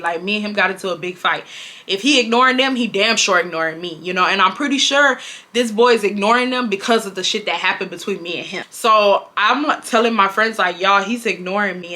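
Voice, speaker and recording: A woman, telling a casual storytime in an animated, dramatic, slangy way. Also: medium pitch. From Houston, Texas. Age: 20s. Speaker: vlogger.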